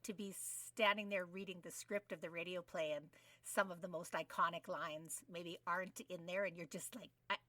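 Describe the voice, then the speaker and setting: A middle-aged woman speaks in a bright, forward voice as a podcast co-host. She asks questions casually and playfully. The sound is dry, though she sits a bit away from the mic. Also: fairly low pitch, fairly even intonation, moderate pace, no echo, recorded from a distance.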